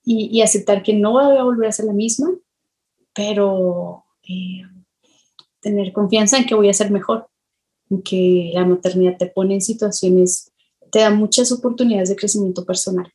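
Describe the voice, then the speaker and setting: A woman, speaking reflectively in an interview, thoughtful and halting as she searches for words. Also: medium pitch, steady tone, warm tone. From Colombia. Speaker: illustrator, podcast guest.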